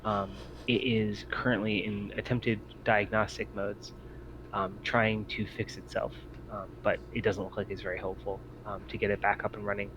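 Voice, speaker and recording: A man narrating a tabletop roleplaying game as game master, halting often mid-sentence. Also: on the low side, flat delivery, background noise.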